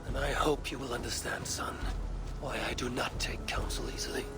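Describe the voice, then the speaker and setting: An actor playing a proud, stubborn old Norse god-king in a video game, gravelly and theatrical in his grand declaiming. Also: mid-range voice, steady tone, normal speed, heavy background noise, natural voice. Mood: weary, stubborn. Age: older. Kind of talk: dramatic game cutscene acting.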